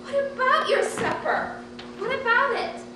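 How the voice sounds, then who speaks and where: A woman's voice: extremely high pitch, big pitch swings, unhurried, heavily acted. A woman playing a talkative, fussy, exasperated housewife in a stage comedy, with a chatty, dramatic, self-important way of talking. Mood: fretful, indignant. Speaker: actor in a student one-act play. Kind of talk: live stage comedy acting. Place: theatre stage, distant microphone. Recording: distant echoey stage recording, noisy.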